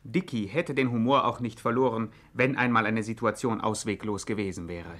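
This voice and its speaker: An actor as a second narrator in a vintage radio play, adding dry, wry asides. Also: male voice, fairly low pitch, moderate pace, music in the background, close to an everyday voice. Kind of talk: scripted 1950s radio narration.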